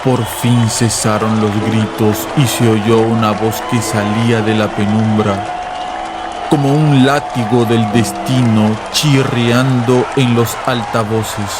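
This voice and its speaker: A man's voice: lowish voice, fairly even intonation, takes it slowly. A man narrating a scripted, dramatized story in a literary radio-drama style. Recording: voice over music and crowd noise. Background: music and crowd sounds.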